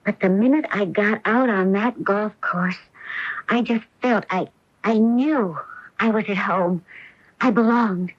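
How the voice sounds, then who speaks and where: An actress in a radio drama playing an earnest, driven star golfer who pleads emotionally and persuasively as a loving wife. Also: female voice, mid-range voice, slow, no echo. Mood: anguished, apologetic. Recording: muffled old AM radio broadcast.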